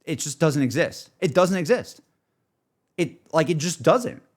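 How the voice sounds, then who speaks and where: A man's voice: a little high, lively intonation, a little slow. Enthusiastic young man rambling on stream. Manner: enthusiastic, self-deprecating. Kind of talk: casual livestream chat, rambling gaming talk.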